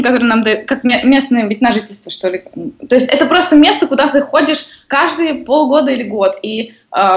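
A woman in her thirties, a guest explaining things in casual conversation, with many hesitations. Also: fairly high pitch. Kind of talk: conversational interview. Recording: lo-fi muffled audio.

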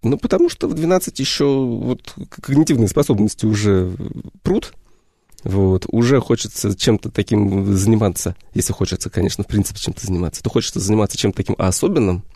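A man, a history educator, answering questions on a radio show in an unhurried way, often searching for words. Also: lowish voice, warm tone, no room sound, studio quality. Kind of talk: radio interview. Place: radio studio.

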